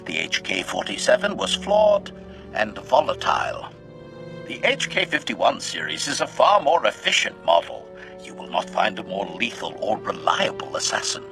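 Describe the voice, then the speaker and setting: A man's voice: lowish voice, vocal fry. A man voicing a cold, calculating, loyal assassin droid in a video game, with clipped, formal delivery. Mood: boastful, dismissive. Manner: clipped, formal, announces each statement with a one-word label. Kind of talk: scripted video game dialogue.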